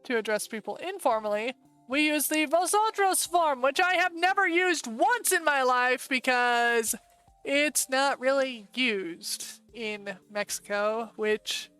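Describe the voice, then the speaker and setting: Smooth-voiced chatty American woman streamer. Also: on the high side, a bit bright. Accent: American English. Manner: chatty, thinking aloud, quick asides. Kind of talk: casual live-stream commentary.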